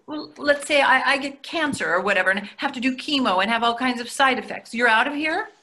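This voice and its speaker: Needling, reluctant wife for a play reading: older, bright, slightly high, defensive. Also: female voice, lively intonation, fairly quick. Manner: hesitant, defensive. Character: anxious, needling, reluctant woman therapist and wife. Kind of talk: readers theatre over video call. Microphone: video call.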